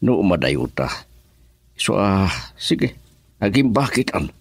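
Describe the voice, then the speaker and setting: A man's voice: sits low, sing-song, slow pace, husky, dry recording, exaggerated voice. A man acting in a radio drama as an elderly, patient and caring father, with an Ilocano accent. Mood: calm, resolved.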